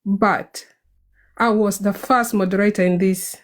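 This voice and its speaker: Hurried South Sudanese woman. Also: medium pitch, steady tone. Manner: hurried, earnest speaking at work. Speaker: online group member. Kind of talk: casual group audio chat.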